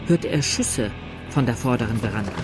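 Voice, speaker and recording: Actor as a grave narrator over music. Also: male voice, medium pitch, animated, fairly quick, close to an everyday voice. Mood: grave, dramatic. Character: grave male chronicler of an outlaw's last battle. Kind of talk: scripted third-person radio drama narration.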